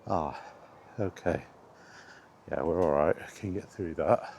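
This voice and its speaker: A man with a deep voice talks at an unhurried, slow pace, as in a casual walking vlog. He rambles in a self-deprecating, mildly exasperated way. The recording is made outdoors, with faint ambient noise behind him. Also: gravelly, darkish tone. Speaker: vlogger. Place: outdoors on a path in windy weather. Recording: outdoor camera audio with background noise. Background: outdoor ambience, wind.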